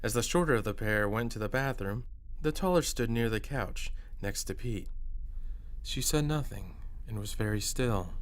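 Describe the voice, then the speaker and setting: A man narrating a scripted first-person horror story in a measured storyteller's delivery. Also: medium pitch.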